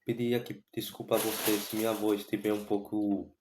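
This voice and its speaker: Man, hoarse, sore throat, low voice, flat, rambling, echoey room. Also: fairly quick, a little hiss or hum. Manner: rambling, repeats himself with many restarts and fillers. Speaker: football fan channel host. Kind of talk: casual vlog commentary on football.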